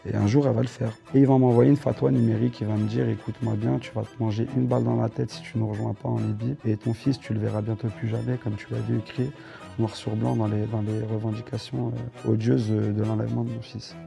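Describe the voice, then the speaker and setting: A man in his thirties from France, telling his life story as an interview guest, halting and full of fillers and restarts. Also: on the low side, level tone, creaky, music in the background. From Dreux, France.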